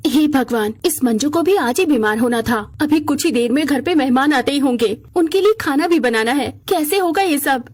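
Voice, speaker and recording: The well-off mistress of a house voiced by a woman narrator in a cartoon moral tale. She has a high voice and sounds fretful yet kindly. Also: lively intonation, moderate pace, hardly any pauses, a little mellow. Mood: worried. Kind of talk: cartoon moral story acting.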